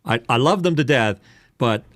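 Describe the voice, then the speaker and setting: A man's voice: medium pitch, animated, normal speed, fairly bright tone, dry recording. A man in his late sixties chatting casually like a coach, giving candid, rambling explanations as a seasoned announcer and host. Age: late 60s. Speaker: voice-over announcer and podcast host. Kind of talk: casual chat, coaching on voice-over.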